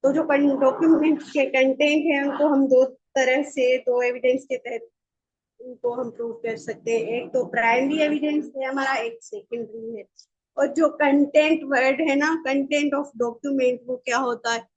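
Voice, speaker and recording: A woman's voice: high-pitched, unhurried, dull audio. A woman lecturing informally, reading out passages and explaining them, mixing in English terms and often checking that listeners follow. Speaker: law lecturer.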